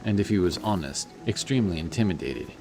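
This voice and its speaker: A man's voice: deep voice, animated, fast talker, gravelly. A man reading scripted fantasy narration as a storyteller, with music behind his voice.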